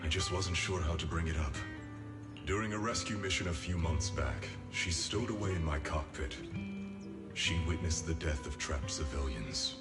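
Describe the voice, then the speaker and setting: A very deep-voiced, big male soldier, played by an actor in a video game drama. He is candid, resolute and quietly weary, with measured, earnest delivery. Loud music plays behind in an echoey space. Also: natural voice. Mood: subdued, earnest.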